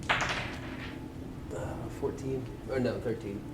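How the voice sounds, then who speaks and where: A man's voice: mid-range voice, fairly even intonation, slow pace, a bit bright. A man speaking casually as a player at a tabletop roleplaying game. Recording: echoey room with background noise.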